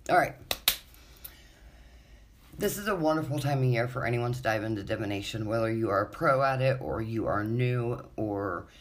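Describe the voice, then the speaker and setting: A woman hosting her own podcast in casual, rambling chat, her voice raspy and wheezy from allergies, swearing freely. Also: rumbling low, animated, normal speed, slight background noise. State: congested from allergies. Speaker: podcast host.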